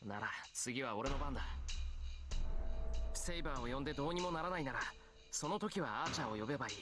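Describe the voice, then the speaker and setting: An earnest teenage schoolboy from an anime game, voiced by a man. He speaks very rapidly with animated, swinging pitch and urgent determination. Music is audible behind him. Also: close to an everyday voice. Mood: urgent, resolute. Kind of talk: Japanese anime visual novel acting. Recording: game dialogue over background music.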